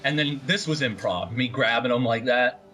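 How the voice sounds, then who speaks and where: A man's voice: medium pitch, moderate pace, fairly bright tone. A man who acted in the film, talking casually on a cast commentary.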